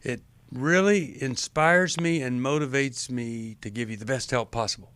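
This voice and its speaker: A man's voice: mid-range voice, normal speed, fairly bright tone, clear, resonant tone, no room sound. A man in his sixties hosting a call-in radio show, friendly and joking while steering the call. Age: 60s.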